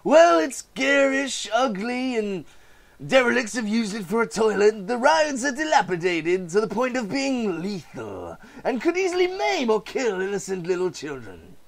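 A man's voice: high-pitched, very animated, normal speed, vocal fry, voice pushed up, full character voice. A man voicing a gleeful, sinister clown villain in a comic book reading, flamboyant and mocking.

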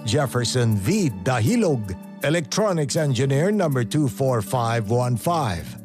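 A man's voice: medium pitch, big pitch swings. Formal male station announcer over music. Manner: measured, enunciated reading. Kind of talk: formal scripted sign-off announcement. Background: background music.

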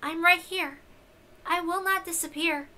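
A woman voicing a meek, devoted young lady who speaks softly, formally and haltingly in a visual novel. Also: very high voice, fairly even intonation, pitched above the performer's own voice, full character voice. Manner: soft, polite, deferential, halting.

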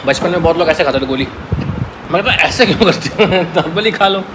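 A man's voice: high-pitched, animated, a little fast. A man in his forties chatting and joking to a phone camera held at arm's length, at home and while driving in night traffic. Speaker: vlogger. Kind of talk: casual vlog chat. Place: car at night in city traffic.

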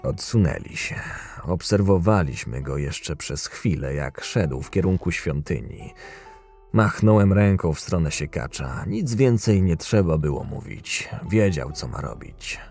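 A man's voice: rumbling low, lively intonation, a little slow, darkish tone, soft background music, heavily acted. A man playing a proud, short-tempered, cynical camp enforcer who narrates his own tale in a fantasy audio drama, sardonic and menacing. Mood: sardonic, brooding.

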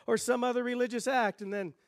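A middle-aged man preaching a sermon as pastor in a church, teaching in a lively way and gesturing at the pulpit. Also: very high-pitched, fast, no echo, clean studio sound. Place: church sanctuary stage.

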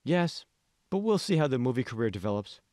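A man's voice: medium pitch, sing-song, fairly quick, darkish tone, no room sound, studio quality, pitched above the performer's own voice, lightly acted. A man voicing a friendly young woman in an audiobook, with a light, chatty manner. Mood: agreeable. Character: friendly young woman, pre-med student turned movie actress.

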